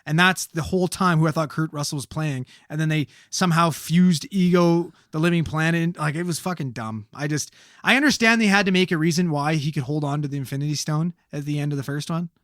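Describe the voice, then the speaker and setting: A man's voice: a little high, a little fast, fairly bright tone, dry recording. A man co-hosting a podcast in a studio, explaining his point emphatically in casual, profane chat. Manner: emphatic, swears a lot, explains at length. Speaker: podcast co-host.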